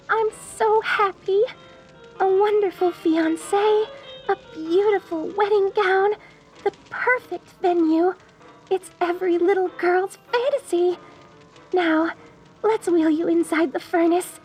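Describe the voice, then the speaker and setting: A woman playing a gleeful, lovestruck young mortician bride in a dark comedy audio roleplay, girlish and cooing with a sweetly sinister, theatrical manner. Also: very high-pitched, slow, faint music behind, poor audio. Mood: blissful.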